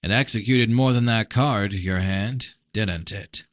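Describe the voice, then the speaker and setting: A man's voice: on the low side, measured pace, a bit bright, no room sound. A man voicing a blunt, suspicious prince in a first-person novel reading, terse and challenging. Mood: blunt, wry. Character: blunt, suspicious fantasy prince interrogating his brother.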